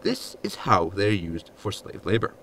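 A man's voice: fairly low pitch, wildly swooping pitch, moderate pace, a bit bright, a little hiss or hum, heavily acted. A man playing a blunt, literal android servant in a sci-fi comedy audio drama, formal and matter-of-fact. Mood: matter-of-fact explaining.

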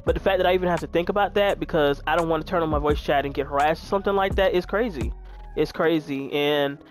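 Deep-voiced woman in her twenties, frank. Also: fast talker, faint music behind. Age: 20s. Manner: frank, chatty, talks straight to viewers. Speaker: gaming YouTuber. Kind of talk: candid chat while playing a video game.

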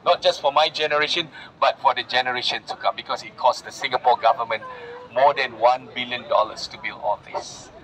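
A man's voice: mid-range voice, a little fast, fairly bright tone. A man guiding a walking tour, explaining to a group and often checking in with short tag questions. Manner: explanatory, often checks in with "yeah?" and "all right?". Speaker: tour guide. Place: outdoors in a public garden at night. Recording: noisy outdoor phone recording.

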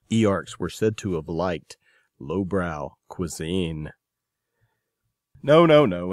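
A man's voice: on the low side, no echo, studio quality. A man hosting a podcast, reading scripted announcements and introductions in an informal, enthusiastic manner. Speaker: podcast host.

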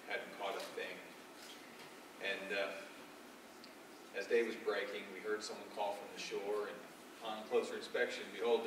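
A man playing an earnest, humbled fisherman apostle in a stage monologue, with halting, reflective and emphatic delivery. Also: on the low side, close to an everyday voice. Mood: fond, reminiscing. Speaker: stage actor. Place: dark theatre stage, recorded from the audience. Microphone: far camera. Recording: distant, echoey, noisy stage recording.

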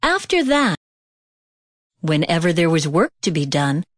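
A woman narrating a children's read-along storybook with careful, expressive delivery. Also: low voice, measured pace, no room sound, studio quality.

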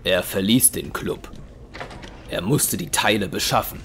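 A man's voice: low voice, unhurried, pushed and bright, a little hiss or hum. A man narrating a scripted audio drama. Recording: clear music behind.

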